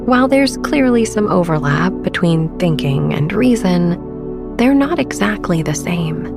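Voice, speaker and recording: A woman in her thirties gently narrating a sleep meditation as podcast host, with a soft, breathy, soothing and unhurried delivery. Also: lowish voice, lively intonation, music in the background.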